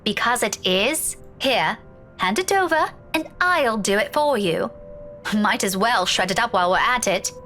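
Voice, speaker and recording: A woman's voice: a little high, animated, measured pace, pushed and bright, faint music behind. An actress playing a stubborn, guarded, easily irritated young woman in a visual novel. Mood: irritated, curt.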